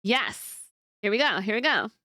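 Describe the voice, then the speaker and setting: A woman's voice: a little high, animated, normal speed, pushed and bright, no echo, clean studio sound. A young woman streamer chatting casually with a congested, nasal voice, rambling as she answers her chat. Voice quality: nasal, stuffed-up voice. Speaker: livestreamer. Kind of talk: casual stream chat while watching videos.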